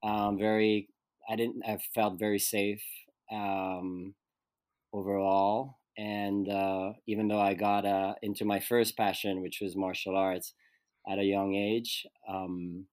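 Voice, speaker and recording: A man's voice: lowish voice, level tone, takes it slowly, a little mellow, no room sound. A man from Switzerland, a guest actor talking through his life in a thoughtful, searching way. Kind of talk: casual conversational interview.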